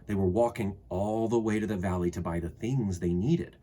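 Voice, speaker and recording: A man reading a children's story aloud expressively, with deliberate phrasing and dramatic pauses. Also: lowish voice, dark tone, slight background noise.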